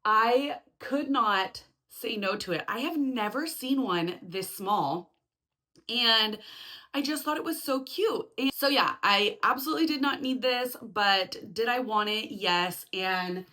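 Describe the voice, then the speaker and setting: A woman hosting a casual home vlog, chatty and upbeat with lots of "like" fillers, her voice slightly hoarse from being sick. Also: fairly high pitch, expressive, measured pace, sharp tone. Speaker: vlog host.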